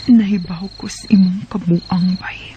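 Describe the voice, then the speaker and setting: An actress with a Cebuano Bisaya accent playing an anxious, suspicious, soft-hearted young wife in a radio drama. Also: female voice, medium pitch, fairly even intonation, unhurried, muffled recording, slight background noise, natural voice. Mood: hushed, nervous. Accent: Cebuano Bisaya Filipino.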